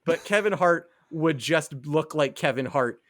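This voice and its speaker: A man's voice: fairly high pitch, expressive, unhurried, a bit bright. Rambling young man on a podcast. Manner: rambling, laughs at his own ideas. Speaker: podcast co-host. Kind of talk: casual chat.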